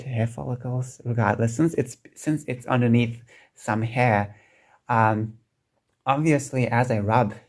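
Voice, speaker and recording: A man chatting casually in a solo vlog, hesitant with lots of restarts and fillers, his throat sounding croaky. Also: mid-range voice, a little mellow. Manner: hesitant, many restarts and ums, enthusiastic. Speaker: vlogger.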